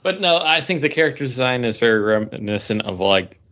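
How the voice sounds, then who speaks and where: A man chats casually as co-host of a comics podcast, at a middle pitch. He often trails off and restarts as he searches for names. The audio is dull and lo-fi. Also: lively intonation, a little fast, pushed and bright, slight background noise. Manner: chatty, trails off and restarts while recalling names. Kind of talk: casual chat.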